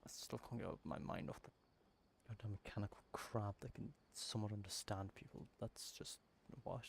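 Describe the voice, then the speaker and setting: A man's voice: fairly low pitch, fairly even intonation, moderate pace, creaky, a little hiss or hum. A man casually commenting over a game, joking and rambling between scenes. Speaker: gaming streamer.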